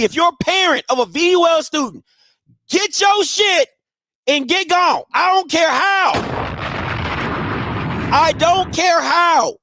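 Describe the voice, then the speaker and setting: A high-pitched man in his thirties hosts a live call-in show, talking bluntly and emphatically. He swings from quiet asides to shouting, and the sound is dry and close. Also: no room sound. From Maryland. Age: 30s. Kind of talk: casual advice talk to listeners.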